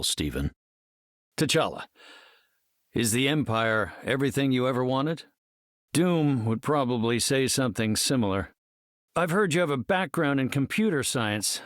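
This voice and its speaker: An actor playing a brainy, confident scientist hero in a video game, speaking in an articulate, measured and slightly formal way. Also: male voice, medium pitch, no room sound, studio quality. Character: brainy, confident, earnest male scientist superhero leader.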